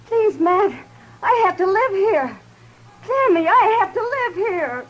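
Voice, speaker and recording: A woman's voice: extremely high pitch, very animated, slow, heavily acted. An actress playing a desperate frontier-town woman who pleads urgently, in a 1950s radio drama. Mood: pleading. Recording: dull old radio recording.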